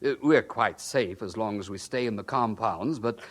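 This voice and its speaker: A man's voice: lowish voice, normal speed, close to an everyday voice. An actor playing a polite, flustered missionary in his thirties in an audio drama, hesitant and stammering. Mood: apologetic, uneasy. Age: 30s.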